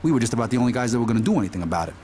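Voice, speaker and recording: A man's voice: medium pitch, sing-song, very fast, darkish tone, slight background noise, natural voice. A man playing a world-weary, foul-mouthed mobster telling his story for a crime game. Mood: blunt, animated. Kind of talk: conversational crime-story narration.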